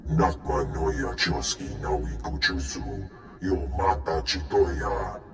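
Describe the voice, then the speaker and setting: A rumbling alien crime lord. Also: male voice, animated, creaky, heavily acted. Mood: bargaining. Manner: speaks an alien tongue, slow and rumbling. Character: huge slow-talking alien crime lord. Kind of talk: video game cut-scene acting. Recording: roomy echo with game music.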